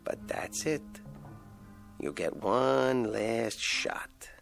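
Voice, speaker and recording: Bullying wooden dummy: drawling, sardonic wisecracks, animated pitch, radio play. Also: male voice, very slow, creaky, soft background music, full character voice. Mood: menacing. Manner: wisecracking, sarcastic, needling. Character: sardonic, bullying, menacing male ventriloquist's dummy. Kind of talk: radio drama acting.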